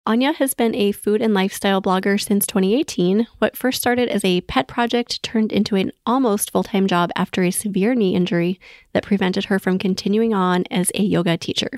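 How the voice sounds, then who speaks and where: A woman's voice: mid-range voice, brisk, a little mellow, no echo, studio quality. A woman hosting a podcast in an encouraging, affirming manner. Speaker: podcast host. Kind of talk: scripted podcast intro and friendly interview chat.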